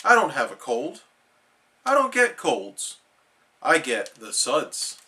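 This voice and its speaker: A man voicing an excitable, sickly cartoon sea sponge in a dramatic, whiny, pleading manner. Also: medium pitch, takes it slowly, full character voice. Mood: worried denial.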